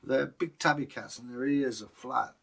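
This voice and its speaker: A man's voice: mid-range voice, normal speed, gravelly, fairly bright tone, husky. British man, rambling storyteller. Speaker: co-host and storyteller. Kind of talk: folktale telling and casual chat.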